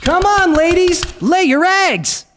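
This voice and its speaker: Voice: very high-pitched, animated, takes it slowly, noisy background, heavily acted. An actor playing a commanding, theatrical beetle colonel in a radio drama. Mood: excited. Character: bossy Colorado beetle colonel rallying the swarm.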